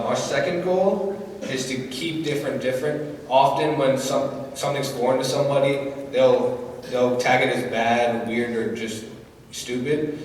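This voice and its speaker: A man's voice: mid-range voice, slight background noise. A teenage boy emceeing a school assembly, talking casually with many fillers into a microphone. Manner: casual, loose, filler-heavy. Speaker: student emcee. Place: large school hall. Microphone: handheld mic heard from far off. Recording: echoey hall from far off.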